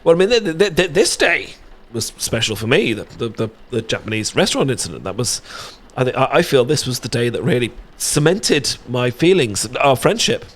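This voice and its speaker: A man's voice: mid-range voice, sing-song, fairly quick, few pauses, fairly bright tone. A British man playing a shy, sweet best friend secretly in love, stammering and hesitant in a romantic ASMR audio roleplay. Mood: flustered, nervous.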